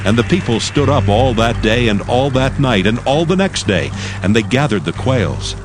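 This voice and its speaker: A man giving solemn, measured scripted narration for a dramatized scripture recording. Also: medium pitch, expressive. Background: music.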